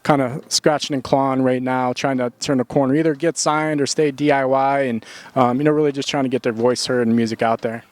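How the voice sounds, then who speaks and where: A man's voice: medium pitch, steady tone, a little fast, no echo. Man asking long, winding interview questions.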